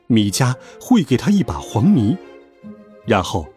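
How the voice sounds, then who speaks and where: A man narrating a children's story in an expressive, scripted storytelling style. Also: mid-range voice, measured pace. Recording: music behind the voice. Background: music.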